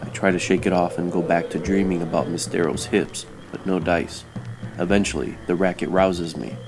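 A man's voice: low voice, steady tone, moderate pace, music in the background. A man playing a world-weary private detective, narrating in a wry, terse old-time radio noir style. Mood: tense, ominous.

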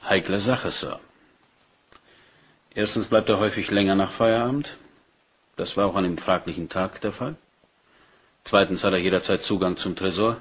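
A man's voice: deep voice, steady tone, moderate pace, long pauses, fairly bright tone, slightly rough. A man acting a dutiful, matter-of-fact police inspector in a German crime radio play, polite and orderly in his delivery. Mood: reporting. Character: dutiful, matter-of-fact male Scotland Yard detective inspector.

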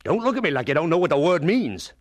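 An actor playing a bitter, sarcastic schoolteacher in a radio drama, stern and sneering in a theatrical way. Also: male voice, on the high side, big pitch swings, fast. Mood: contemptuous. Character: bitter, scornful adult male schoolteacher, sarcastic and domineering.

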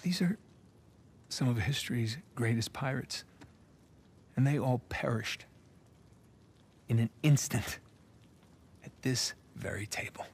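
An actor playing a wry, weary, middle-aged American adventurer in a video game, talking casually and sometimes hesitantly. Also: male voice, medium pitch, slow, pauses often, darkish tone, a little hiss or hum. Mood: subdued. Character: wry, weary adventurer man, affectionate and easygoing. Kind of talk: cinematic video game acting, naturalistic.

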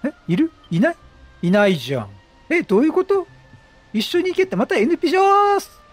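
High-voiced, animated male game streamer. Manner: chatty, quick joking reactions. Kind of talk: casual let's-play commentary. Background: game music.

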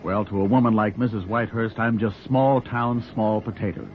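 A man's voice: on the low side, fairly even intonation, moderate pace, natural voice. An actor playing an earnest, modest small-town young man in a 1940s radio drama. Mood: wistful. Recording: noisy, tinny 1940s radio recording.

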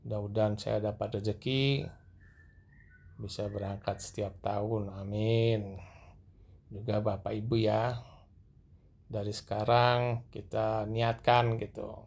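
A middle-aged man narrating a walking tour through a large mosque and onto its rooftop at night, gently addressing his viewers as he films. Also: on the low side, little pitch movement, takes it slowly, vocal fry, long pauses, husky. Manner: gentle, repeatedly addressing viewers as sir and madam. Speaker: vlogger guiding viewers. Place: large mosque, indoor escalators then open rooftop at night.

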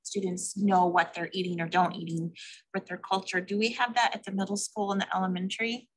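A woman's voice: medium pitch, flat delivery, a little fast, breathy edge, poor audio. A woman on a school board asking a brief, polite question at a meeting.

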